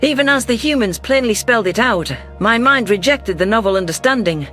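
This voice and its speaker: A man's voice: very high-pitched, expressive, brisk, bright, forceful tone, faint music behind, full character voice. A man voicing an emotional female alien governor, narrating a science fiction audiobook in an earnest, formal, dramatic way. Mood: indignant.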